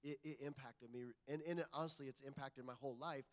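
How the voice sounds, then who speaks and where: A man's voice: mid-range voice, fairly even intonation, quick pace, long reverb tail. A man in his forties from Indiana sharing an earnest personal testimony as a guest speaker, with lots of ums. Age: 40s. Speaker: guest ministry leader. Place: church sanctuary.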